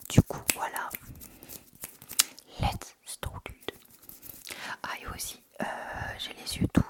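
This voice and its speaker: A woman speaking in an unvoiced, breathy whisper, chatting informally and intimately to viewers as an ASMR host, close to a sensitive microphone. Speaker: ASMR video creator and host. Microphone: sensitive Tascam recorder without windscreen, close up.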